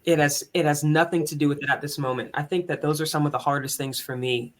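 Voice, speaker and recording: A man with a higher tenor voice, speaking as a musician and conductor in an earnest, candid, reflective way in a conversational interview. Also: fairly even intonation, fast, a little hiss or hum.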